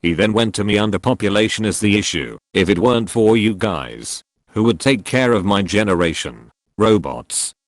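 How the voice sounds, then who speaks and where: Low-voiced man reading posts aloud. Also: dry recording. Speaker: narrator reading forum posts. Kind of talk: scripted reading of online comments.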